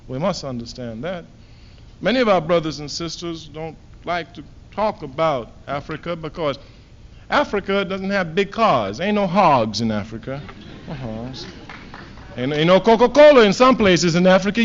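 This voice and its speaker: A man from Trinidad delivers an emphatic political speech, hammering points home by repeating them. His voice sits high and leaps up and down in wide swings, rising to loud declarations before dropping to low asides. The sound is dull and muffled, like an old archive recording. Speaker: political speaker.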